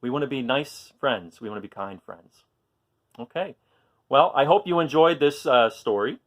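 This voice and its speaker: A man reading a story aloud to young children, expressively, pausing to ask questions and explain things simply. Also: mid-range voice.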